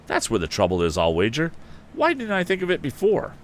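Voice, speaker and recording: A man voicing an energetic, excitable young male inventor in a storybook reading, with eager exclamations. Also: a little high, a little fast, a little hiss or hum, voice pushed up. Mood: excited. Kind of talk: audiobook character acting.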